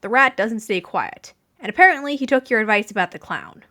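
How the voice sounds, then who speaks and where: A dry, deadpan mafioso played by a woman reader in an audio fan story. The delivery is composed and matter-of-fact, in a fairly high voice with lively movement. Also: fairly quick, exaggerated voice. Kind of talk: fan fiction audiobook character voice.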